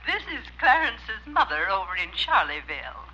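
A woman's voice: on the low side, sing-song, moderate pace. An actress playing a sweet, grateful elderly mother on the telephone in a 1950s radio comedy. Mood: tender, grateful. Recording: dull, noisy 1950s radio recording with audience.

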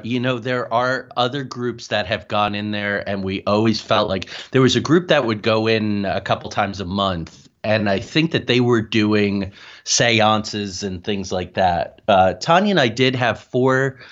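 A man's voice: on the low side, muffled recording. A man being interviewed as a paranormal investigator, explaining at length in an earnest, detailed, conversational way.

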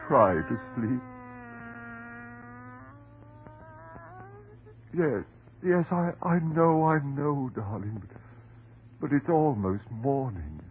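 A man's voice: lowish voice, phone-quality sound. An actor playing a distraught, desperate husband devoted to his wife, in melodramatic, pleading 1930s radio horror style. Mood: anguished, tender. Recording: noisy, band-limited 1930s radio recording.

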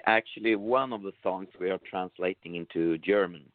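Low-voiced Swedish man, phone sound. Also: moderate pace, dry recording. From Sweden. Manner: friendly, laughs often. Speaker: band member. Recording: dry phone-like line.

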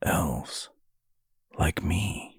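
A devout, solemn elf from a fantasy tale, performed by a male narrator. He speaks low and hushed with a very breathy husk, grave and distant, as if in prayer. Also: slow pace, dry recording, clean studio sound, full character voice. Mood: wounded, distant. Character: smaller elven halfkin brother, solemn, reverent, devout mystic.

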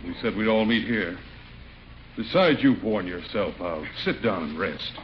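An actor playing a gruff but decent middle-aged father and neighbor in 1940s radio comedy, brisk and firm. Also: on the low side, animated. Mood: calm, firm. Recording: muffled, hissy 1940s radio recording.

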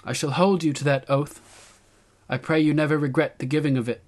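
A man voicing a commanding young queen, raised pitch. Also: fairly even intonation, fairly quick, natural voice. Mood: solemn, regal. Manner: solemn, declamatory. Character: young woman queen, resolute, regal, commanding. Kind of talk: audiobook character acting.

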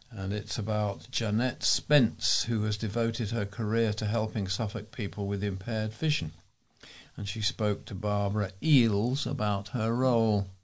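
A man with a fairly low voice reads local news articles aloud. He is a volunteer reader with a measured, explanatory manner, delivering each item as plain spoken information. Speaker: volunteer newsreader.

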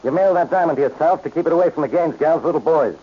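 An actor playing an excitable, easily flustered man in a 1940s radio mystery. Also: fairly high pitch, racing, breathy edge. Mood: irritated. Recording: muffled, hissy old radio recording.

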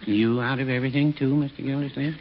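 An American actor playing a mild, folksy older small-town druggist with dry, deferential delivery in a 1940s radio comedy. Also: male voice, mid-range voice, fairly even intonation, fast talker, natural voice. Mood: mildly apologetic. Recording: muffled, noisy 1940s radio recording.

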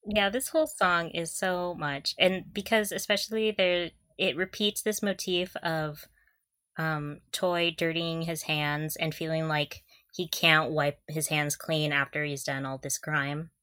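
A woman's voice: low voice, fairly even intonation, unhurried, pushed and bright, no echo, clean studio sound. A woman co-hosting a podcast, chatting casually and enthusiastically as a fan, with a giggly manner. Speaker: podcast co-host.

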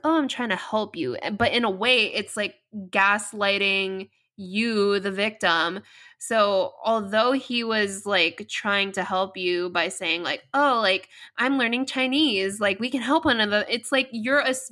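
A woman's voice: medium pitch, pushed and bright. A woman in casual chat, explaining her view earnestly with many "like" fillers.

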